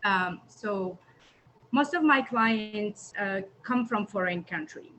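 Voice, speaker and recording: Woman, mid pitch, hesitant, faint noise behind her on a video call. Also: expressive, slow pace, fairly bright tone, poor audio. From Algeria. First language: Arabic. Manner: hesitant, searching for words. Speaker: domestic violence caseworker. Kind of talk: panel talk.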